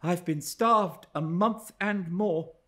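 A man's voice: high voice, takes it slowly, a bit bright, voice pushed up. A man voicing a proud, scornful young lady in an audiobook, with a biting, indignant manner. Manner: haughty, disgusted, biting. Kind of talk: dramatised audiobook reading of a classic novel.

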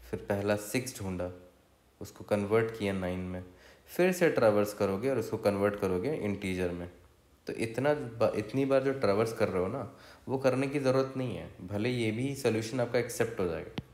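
A man's voice: fairly low pitch, a little mellow. A man with an Indian accent explaining as a coding tutor in a casual, conversational way, often adding little check-in tags. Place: indoor room, voice-over for screen recording. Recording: roomy, echoey voice recording.

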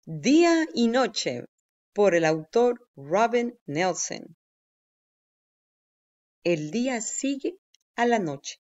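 A woman reading a children's nonfiction book aloud with deliberate, clear phrasing for young learners. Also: fairly high pitch, sing-song, darkish tone. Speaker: read-aloud narrator. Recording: dull, lo-fi sound.

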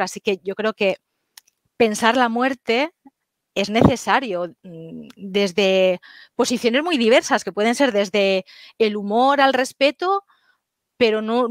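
A woman's voice: medium pitch, no echo, clean studio sound. Thoughtful Galician woman on headset. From Galicia, Spain. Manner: thoughtful, frequent hesitations and tag questions. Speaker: historian and author guest. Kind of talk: video call interview. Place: home study lined with bookshelves. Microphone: headset on video call.

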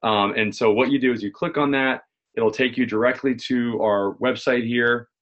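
Male, American, forties, medium pitch. Informal explainer at a desk, conversational, with repeated restarts. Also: fairly even intonation, normal speed, a bit bright, highs cut off. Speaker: presenter, local marketing consultant. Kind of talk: informal screen-share tutorial, explaining. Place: small home office indoors.

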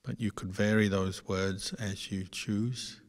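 A man guides a meditation in a low, very even voice. He speaks slowly and gently, leaving long pauses between short phrases. The sound carries a long reverb, as in a large hall. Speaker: Buddhist monk leading guided meditation.

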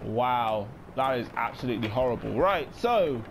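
A young man in his late teens giving casual let's play commentary, rambling with many pauses and quick apologies. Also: medium pitch, animated, gravelly, noisy background. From United Kingdom. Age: young adult. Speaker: gaming YouTuber.

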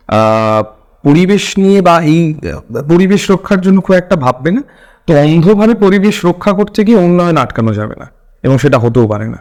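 A man with a West Bengal Bengali accent interviewing conversationally, halting and rephrasing his points as he goes. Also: on the high side, darkish tone.

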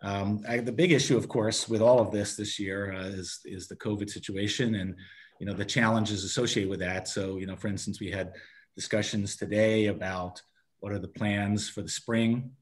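Man, 60s, low register, halting committee speaker reporting informally over a video call. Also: fairly even intonation. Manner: halting, often breaking off and rephrasing. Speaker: parks and recreation committee member reporting to a town council. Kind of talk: informal spoken report at an online meeting.

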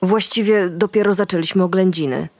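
Female forensic investigator, lowish pitch, composed, considerate, matter-of-fact, crime audio drama. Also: a little fast, a little mellow, no echo. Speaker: actress playing a forensic investigator.

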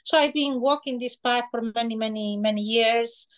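A middle-aged woman from Peru, speaking slowly and reverently as a healer on a video call. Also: a little high. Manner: slow, soft-spoken, reverent gratitude lists. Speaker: shamanic healer guest. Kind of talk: spiritual talk on a video call. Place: small decorated room indoors. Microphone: video call webcam.

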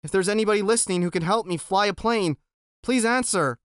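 A man's voice: sits high, fairly quick, a bit bright, clear, resonant tone, no echo, studio quality, pitched above the performer's own voice. A man voicing a frightened teenage boy alone in a small plane, calling for help in a raised, pleading voice.

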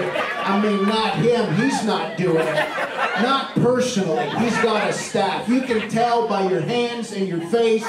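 A man's voice: sits high, very noisy. A man in his thirties from Canada doing stand-up crowd work, brash, profane and teasing, on a comedy club stage with a handheld mic. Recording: echoey club, heard from far off. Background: laughing audience.

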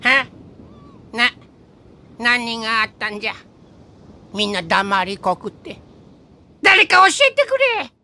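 A woman playing a doddering old-timer in an anime audio drama, with old-fashioned elderly phrasing and drawn-out exclamations. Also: fairly high pitch, very animated, sharp tone, heavily acted. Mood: flustered.

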